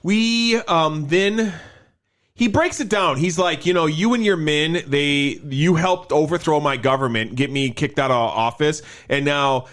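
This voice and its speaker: A man with a fairly high voice chats casually as a podcast co-host. He retells a film in an animated, informal way, acting out bits and swearing freely. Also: unhurried. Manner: animated retelling with acted-out quotes and profanity. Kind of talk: casual film recap chat.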